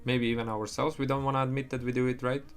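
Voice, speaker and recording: A man's voice: medium pitch, level tone, quick pace, smooth. Man with an Estonian accent on a livestream. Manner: rambling, swears, frequent restarts. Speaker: livestream trader. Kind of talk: casual livestream chat.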